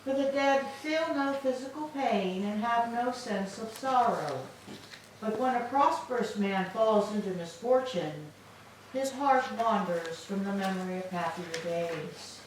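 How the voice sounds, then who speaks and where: Slow, sorrowful captive noblewoman played by a woman. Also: medium pitch, a bit bright. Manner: formal, measured declamation. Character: sorrowful young captive widow, noble, proud and despairing. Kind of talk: staged reading of Greek tragedy. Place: church, staged reading. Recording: echoey hall, distant mic, faint noise.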